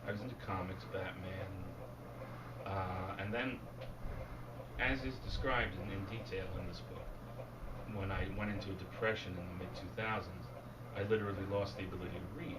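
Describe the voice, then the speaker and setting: A man reading his fiction aloud at a bookstore event, deadpan and wry, and losing his voice. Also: low voice, moderate pace. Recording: distant mic, noisy room. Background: audience laughter.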